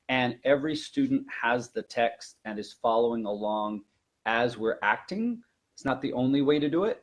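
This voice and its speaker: Male teacher-presenter, medium pitch, informal instructional delivery with an emphatic, explanatory manner. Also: husky. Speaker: language teacher, webinar presenter. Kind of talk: informal instructional webinar talk.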